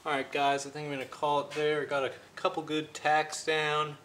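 A man's voice: fairly high pitch, monotone, a bit bright. Casual man vlogging over noisy background. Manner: self-deprecating, halting. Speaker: hobbyist welding vlogger. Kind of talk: casual hands-on demonstration to camera. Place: garage workshop. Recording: clear ambient workshop noise.

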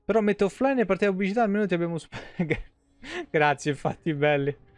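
A young man chats through a game stream in a fairly high voice. The sound is dry, and his talk is colloquial and peppered with slang and swearing. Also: expressive, fairly quick, dry recording. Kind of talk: casual live game commentary. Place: small indoor room, webcam stream.

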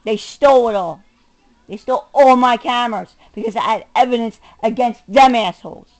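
A middle-aged woman with a somewhat high-pitched voice rants angrily to her camera. She is combative and profane, hitting her words hard. Her delivery is personal and unscripted. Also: normal speed, low-quality recording. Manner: combative, profane, emphatic, swinging between muttering and raised voice. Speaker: self-recording vlogger. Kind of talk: angry personal rant to camera.

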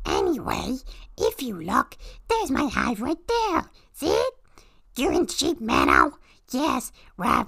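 A small cartoon toad creature reads a script in a husky, straining croak. The gravelly voice lurches wildly between squawks and growls, exaggerated and excitable as it takes every part. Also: female voice, fairly high pitch. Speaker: performer reading a film script aloud. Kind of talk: character reading in a put-on voice, playing every part.

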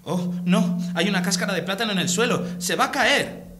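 A man's voice: high-pitched, a little fast, noisy background, lightly acted. A man playing an earnest, awkward young superhero in a radio serial, hesitant and theatrical, with an accent from Spain. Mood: alarmed. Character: earnest, awkward young male superhero student, Captain Chicken. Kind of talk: Spanish radio serial acting.

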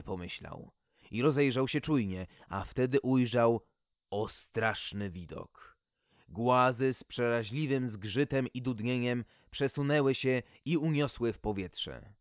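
A man narrates a storybook tale at an unhurried pace. His delivery is theatrical and folksy, in a mid-range voice, recorded very dry. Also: medium pitch, no room sound. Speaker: podcast host and story reader.

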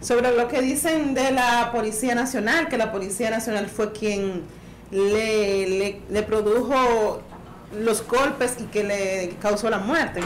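Woman around 30s on a studio panel, a bit high voice, stumbles and repeats herself, roomy echo. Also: steady tone, slow. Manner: hesitant, repeats words and restarts. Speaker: panel commentator. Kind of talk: talk show commentary. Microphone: boom studio mic. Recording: roomy studio, faint noise.

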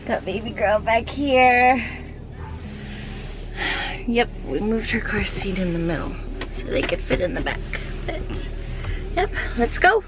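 A woman's voice: on the high side, sing-song, a little slow, pauses often, background noise. A young woman, a mother, chatting casually for a family vlog into a handheld camera. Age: young adult. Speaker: vlogger and mother. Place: at home, in a car and in a busy restaurant. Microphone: handheld camera at arm's length.

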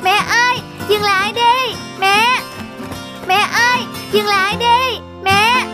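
A woman's voice: very high-pitched, fairly even intonation, slow pace, over music. A woman voicing Na, a sweet, trusting little girl in a cartoon, speaking in an earnest, childlike way. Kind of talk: cartoon voice acting.

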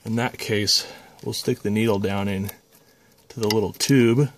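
A man's voice: fairly low pitch, steady tone, unhurried. A man presenting a hands-on repair tutorial in a casual, unscripted, folksy way, talking himself through the work. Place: indoor electronics workshop. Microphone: handheld phone camera.

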